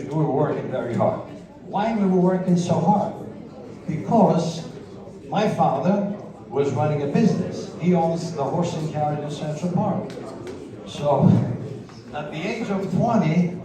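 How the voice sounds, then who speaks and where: A middle-aged man from Sicily, casually telling his life story in a rambling, boastful way and chuckling often. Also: fairly high pitch. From Sicily, Italy. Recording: roomy, distant mic, crowd around.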